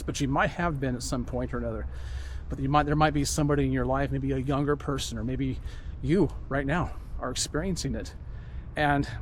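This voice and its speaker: A man's voice: on the high side, slight background noise. A man talking earnestly as he walks outdoors, rambling and repeating himself in a reflective monologue. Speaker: vlogger. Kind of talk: reflective walk-and-talk monologue. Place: outdoors, walking.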